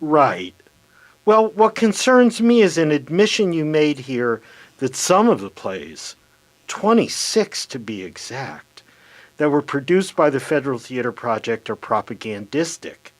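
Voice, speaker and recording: A man's voice: fairly high pitch, sing-song, unhurried. A man playing a probing, formal 1930s congressional committee chairman in a radio theater reading. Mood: pressing.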